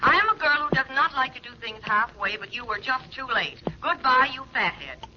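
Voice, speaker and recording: A woman's voice: high-pitched, expressive, a little fast. An actress playing a brassy young woman with sardonic, mock-formal slangy 1940s radio delivery. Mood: scornful. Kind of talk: 1940s radio drama acting. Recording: dull, noisy 1940s radio recording.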